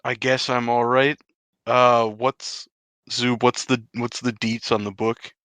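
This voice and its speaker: Male tabletop player, bright forceful tone, casual in-character banter, dry recording. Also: medium pitch, level tone, takes it slowly, clean tone, no echo. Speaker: tabletop role-playing player.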